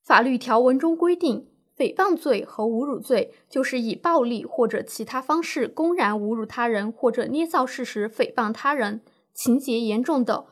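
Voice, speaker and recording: Actress as female lawyer: fairly high voice, composed, reassuring, formal explanations, radio drama. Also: breathy edge. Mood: explanatory. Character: calm, reassuring female lawyer advising a client. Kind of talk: scripted legal-education radio drama.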